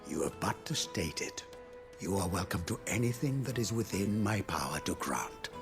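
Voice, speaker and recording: A man's voice: sits low, lively intonation, normal speed, music in the background. A man playing a deferential, patient manservant in a video game, speaking with formal, obliging eloquence. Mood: courteous, obliging. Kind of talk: video game cutscene acting.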